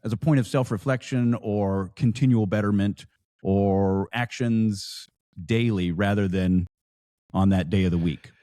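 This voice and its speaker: Low, mellow American man, dry close sound. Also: unhurried, dry recording. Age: late 40s. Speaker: podcast guest, lodge educator. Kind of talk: casual chat with anecdotes.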